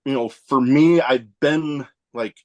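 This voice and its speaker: A man hosts a casual podcast at a mid pitch. He is easygoing and agreeable, nodding along with short replies, then telling his own travel stories. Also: takes it slowly, a little mellow. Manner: easygoing, agreeable, brief acknowledgements; navy veteran. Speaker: podcast host.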